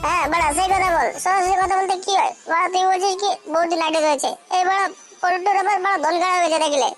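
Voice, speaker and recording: A man voicing a crude, mocking little male pal in a comic cartoon, his voice pitched up and squeaky. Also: steady tone, fast. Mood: gossipy. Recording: loud music under the voice.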